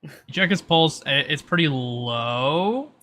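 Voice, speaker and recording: A man's voice: a little high, expressive, takes it slowly, pushed and bright, slight background noise. A man narrating a tabletop roleplay as game master in a casual, improvised and informal way. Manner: informal, peppered with "like".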